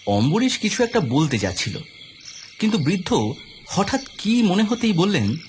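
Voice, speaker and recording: A man narrating a scripted audio story in an expressive, suspenseful, dramatic storytelling style. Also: sits high, normal speed, slight background noise. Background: clear background music.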